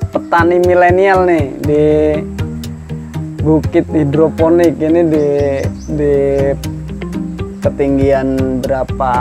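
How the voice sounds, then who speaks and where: Chatty man hosting outdoors. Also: fairly high pitch. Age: 30s. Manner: chatty, friendly, asks many leading questions. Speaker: podcast host, agricultural extension worker. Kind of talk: casual outdoor interview. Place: outdoor greenhouse on a hillside.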